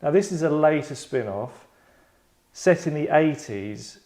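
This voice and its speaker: A man's voice: a little high, animated, a little slow, darkish tone. Sardonic man hosting in a rambling way. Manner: sardonic, rambling, mock-dramatic. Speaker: host directing an actors' table read. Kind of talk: casual explaining to a group. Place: London.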